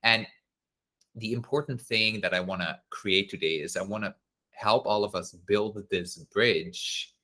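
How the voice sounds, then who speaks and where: Man, low but bright voice, chatty live-stream class host, laughs easily. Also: measured pace. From Switzerland. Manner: casual and chatty, laughing easily, with many fillers. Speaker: masterclass host. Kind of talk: live-streamed online class, reading viewer comments.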